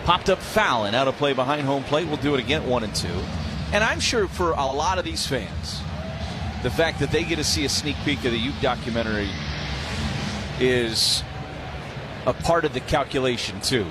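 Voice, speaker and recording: A man's voice: mid-range voice, expressive, normal speed. An American man calling a baseball game live on the radio as play-by-play announcer. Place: stadium broadcast booth during a game. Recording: clear crowd noise behind the voice. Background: ballpark ambience.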